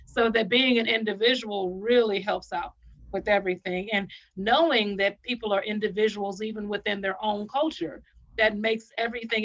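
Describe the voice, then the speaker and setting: A woman's voice: mid-range voice, a bit bright. A woman giving an informal comment in a group discussion over a video call. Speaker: symposium participant. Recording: dull lo-fi video call audio.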